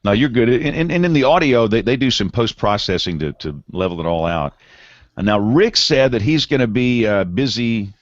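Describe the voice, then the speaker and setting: A man's voice: mid-range voice, normal speed, no echo, lo-fi sound. A man hosting a weather podcast in a studio, with loose, laughing banter. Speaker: podcast host. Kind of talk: casual chat and show opening. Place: broadcast studio.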